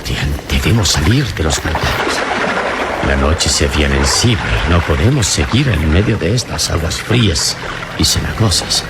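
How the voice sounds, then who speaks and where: An actor playing a calm, courteous, resolute male adventurer in a Latin American radio drama, with measured, formal speech. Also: rumbling low. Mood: grave, resolute. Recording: loud water and wind ambience behind.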